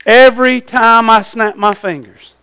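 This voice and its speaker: Bright, very high-voiced Southern male preacher. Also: steady tone, a little slow, clear, resonant tone. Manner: folksy, exhortatory, repeating phrases for emphasis. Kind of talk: evangelistic church sermon with questions to the congregation.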